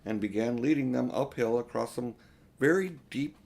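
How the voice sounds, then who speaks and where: A man's voice: mid-range voice, normal speed, creaky, darkish tone. An older man reading a storybook aloud to children as an audiobook narrator, now and then stumbling over a word.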